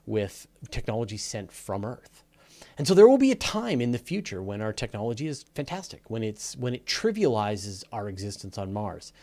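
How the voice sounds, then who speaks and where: A man's voice: fairly low pitch, darkish tone. A man hosting a live question and answer show, talking off the cuff in a casual, emphatic, opinionated way and repeating phrases for stress. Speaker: host of a live space and astronomy Q&A. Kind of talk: casual off-the-cuff explanatory talk.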